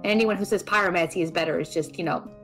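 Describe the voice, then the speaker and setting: A woman playing an introverted, opinionated young magic student in an audio drama, with a halting, deadpan, teasing delivery. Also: lowish voice, faint music behind, natural voice, poor audio. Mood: dry, opinionated. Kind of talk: audio drama dialogue, naturalistic.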